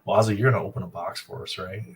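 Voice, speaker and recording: A man's voice: deep voice, steady tone, slight background noise. A man hosting a livestream in an upbeat, casual way, reading chat aloud and keeping the talk moving. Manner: upbeat, reads chat aloud, quick fillers. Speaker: stream host and producer.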